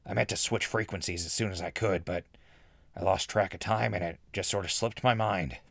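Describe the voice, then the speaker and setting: A man as a gravelly, low-voiced ghoul radio host. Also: fairly even intonation, normal speed, fairly bright tone, no room sound, heavily acted. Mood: placating. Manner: conversational, laid-back, placating. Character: easygoing male ghoul radio host and wasteland traveler. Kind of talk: post-apocalyptic audio drama acting and narration. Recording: music bed under the voice.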